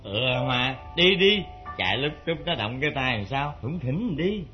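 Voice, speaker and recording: A man's voice: medium pitch, sing-song, moderate pace, soft background music, lightly acted. An actor playing a weak, hot-tempered husband and mother's boy in a melodrama, wheedling and blustering, with a southern Vietnamese accent. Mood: wheedling, evasive. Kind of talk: Vietnamese stage melodrama acting. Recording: dull lo-fi old drama recording.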